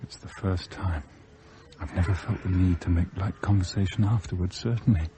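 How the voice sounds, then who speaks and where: A man's voice: sits low, steady tone, normal speed, dark tone, slight background noise, close to an everyday voice. An actor playing a blunt, teasing, lovestruck young British man in a radio play, impulsive and questioning. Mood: tender, ardent.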